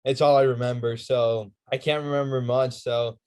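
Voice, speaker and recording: Relaxed young man, plainspoken. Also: mid-range voice, normal speed, clean tone. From Puerto Rico. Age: young adult. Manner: relaxed, laid-back, plainspoken. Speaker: guest, college athlete. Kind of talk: casual interview talk.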